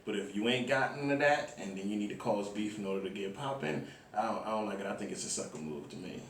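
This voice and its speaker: A deep-voiced man from Miami talks as a guest in a casual interview. His phrasing is informal and halting, padded with ums. He is recorded away from the mic in an echoey room. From Miami, Florida, United States. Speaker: interview guest, music platform founder. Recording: echoey room, distant mic, faint noise.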